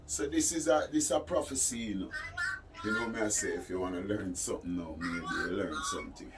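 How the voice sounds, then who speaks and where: A low-pitched man speaks with a Caribbean accent in an insistent, sermonizing manner. He repeats words to drive his points home as he explains his views in an interview, with clear ambient noise around him. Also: a little fast. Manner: preaching, insistent, repeats phrases for emphasis. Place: studio, during a phone call. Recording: noisy ambient room recording.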